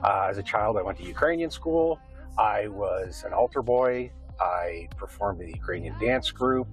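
Low-voiced middle-aged Canadian man, casual. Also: expressive, moderate pace, soft background music, rough recording. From Windsor, Canada. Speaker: interviewee. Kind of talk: casual interview answers, reminiscing.

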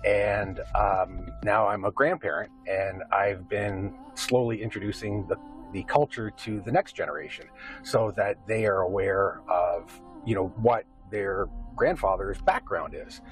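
Middle aged canadian man, deeper voice, chatting in an interview, bit hesitant, music in back. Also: a little slow, rough recording. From Windsor, Canada. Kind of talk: casual interview answers, reminiscing.

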